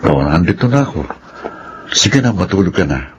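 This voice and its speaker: A Filipino man playing a weary, tender middle-aged father in a radio drama, subdued and gentle. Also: deep voice, sing-song, normal speed. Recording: muffled radio drama, faint noise.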